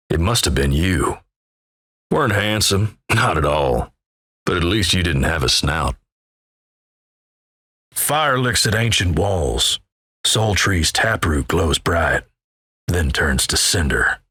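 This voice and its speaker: A man playing a gruff, folksy, wry Old West narrator in a video game, with a gravelly American Western drawl and measured, ominous storytelling. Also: low voice, sharp tone, no room sound, clean studio sound, exaggerated voice. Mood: grim, musing, then portentous. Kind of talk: dramatic second-person scripted game narration.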